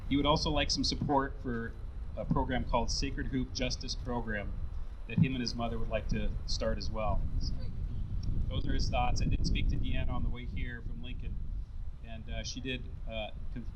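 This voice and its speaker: An American man introducing speakers at an outdoor public rally, reading prepared remarks in a measured, occasionally hesitant way. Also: medium pitch, little pitch movement. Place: outdoors at a downtown courthouse rally. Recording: noisy outdoor recording with traffic. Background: traffic and outdoor ambience.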